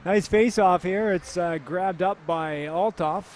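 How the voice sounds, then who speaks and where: A man from Canada giving relaxed play-by-play commentary on a youth hockey game, chatty and full of filler words. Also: high-pitched, measured pace, a little hiss or hum.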